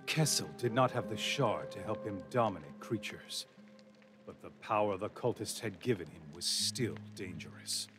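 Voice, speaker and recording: An actor playing a grave adventurer narrating a quest in a fantasy video game, as a scripted storyteller. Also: male voice, on the low side, expressive, normal speed, music in the background, natural voice.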